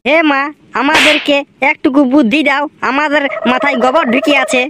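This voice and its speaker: A man voicing a grumbling, put-upon village priest in a comic cartoon, with a rustic Purulia Bengali accent and a pitched-up voice. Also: level tone, normal speed. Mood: chanting, instructing. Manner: scolding, rustic, exclamatory. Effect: pitch-shifted up. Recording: clearly noisy track with clicks.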